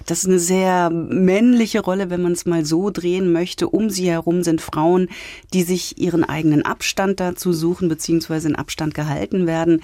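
A woman's voice: lowish voice, mellow, no room sound. A woman hosting a radio book segment, explaining novels in an articulate, conversational way as a literary critic.

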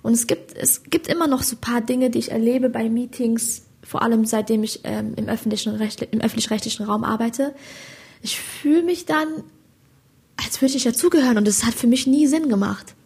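A young woman comedian with a Hessian accent talks in a slangy, animated way, often checking in with a quick "you know?". Her voice sits fairly high and has a husky, breathy edge. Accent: Hessian German. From Hesse, Germany. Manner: animated, slangy, keeps asking "weißt du?". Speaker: stand-up comedian, guest. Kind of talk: casual interview chat.

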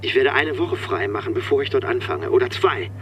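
A man's voice: mid-range voice, lively intonation, fairly quick, sharp tone, slight background noise. An actor playing a harried, cocky manager in his forties in a naturalistic radio drama, indignant and evasive, stammering under pressure. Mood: eager, earnest. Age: 40s. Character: harried, cocky middle-aged car-industry manager and family man. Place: voice heard as if driving inside a car.

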